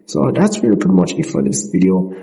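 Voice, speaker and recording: Mellow-voiced man narrating a casual app tutorial. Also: deep voice, wildly swooping pitch, fast, vocal fry, rough recording. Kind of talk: informal screen-recorded tutorial narration.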